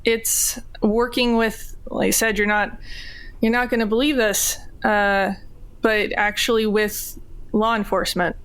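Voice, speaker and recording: A woman's voice: mid-range voice, steady tone, a little slow, a bit bright, smooth. A woman playing a tabletop role-playing game, improvising casual dialogue in a wry, slightly hesitant way. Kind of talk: casual in-character improvised chat.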